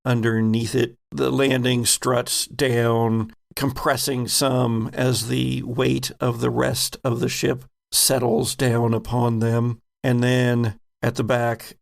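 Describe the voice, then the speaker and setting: A man working as game master, narrating improvised tabletop scenes in a measured, halting, descriptive way. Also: mid-range voice, creaky.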